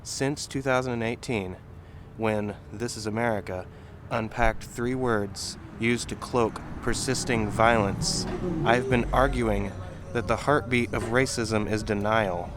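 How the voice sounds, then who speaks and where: A man reads an essay aloud in measured, deliberate phrases with pauses. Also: lowish voice, background noise. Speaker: reader at a table reading.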